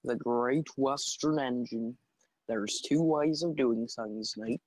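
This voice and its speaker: Young guy just chatting, short teasing replies, slow n mellow, dry mic. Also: medium pitch, no room sound, poor audio. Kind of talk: casual chat.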